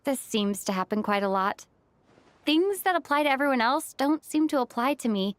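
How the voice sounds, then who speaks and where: A woman voicing an earnest, modest young female adventurer in a video game, speaking gently. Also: sits high, expressive, moderate pace, smooth. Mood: earnest, thoughtful. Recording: game music behind.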